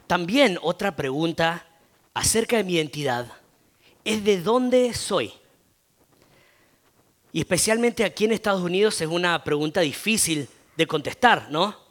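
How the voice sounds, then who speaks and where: A middle-aged pastor with an Argentine Spanish accent preaches in a relaxed, talky way, leaning on fillers and tag questions. His voice sits high for a man. He takes his time, speaking at an unhurried pace. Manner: conversational, frequent filler "eh" and tag "¿no?". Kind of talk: sermon.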